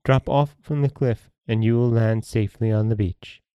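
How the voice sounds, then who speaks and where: A man voicing a boy's mother in a dream, gently scolding and instructing, in a storybook tale. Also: medium pitch, fairly even intonation, normal speed, clean tone, no room sound, muffled recording, voice pushed up, lightly acted. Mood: scolding, instructive. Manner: instructive, admonishing. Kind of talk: storybook character voice.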